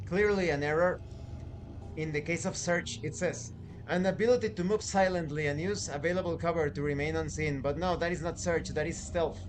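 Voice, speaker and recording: A man presenting a tabletop game review as channel host, deliberate and emphatic, pausing and stretching key words. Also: fairly high pitch, background noise. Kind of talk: scripted-sounding review presentation.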